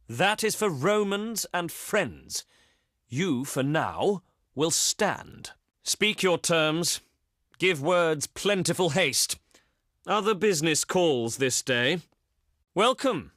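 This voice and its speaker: An actor playing a haughty, contemptuous Roman senate diplomat in a strategy game, speaking in a pompous, theatrical, oratorical way. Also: male voice, a little high, fairly bright tone, dry recording, studio quality. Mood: curt, disdainful.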